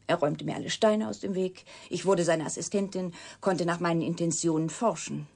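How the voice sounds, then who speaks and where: An actress playing a reclusive, wounded young woman scientist in a radio drama, guarded yet confiding, speaking breathily and deliberately, often sinking to a whisper. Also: lowish voice, steady tone, vocal fry, a little mellow. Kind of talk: German radio drama acting.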